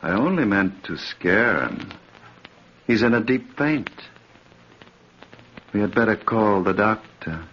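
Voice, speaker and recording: Deep-voiced vengeful man, old radio. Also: very animated, exaggerated voice. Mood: defensive. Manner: menacing, deliberate. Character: cold, vengeful man savoring revenge. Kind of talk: 1950s radio drama acting. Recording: muffled, noisy old radio recording.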